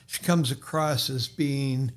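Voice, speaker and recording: A man's voice: mid-range voice, steady tone, slow pace. A man with a Boston accent, a podcast guest and author, talking in a casual chat with many restarts and fillers.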